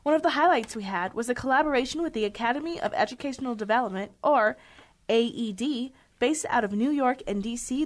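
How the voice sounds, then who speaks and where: A woman presenting a youth radio segment, reading an upbeat scripted introduction. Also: fairly high pitch.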